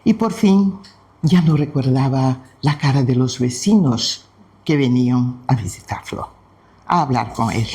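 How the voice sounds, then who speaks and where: A man from Brazil whose first language is Portuguese, speaking Spanish with a Brazilian Portuguese accent. He leads a devotional spiritist talk and tells a story in a gentle, unhurried way with frequent pauses. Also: high voice. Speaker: spiritist study presenter.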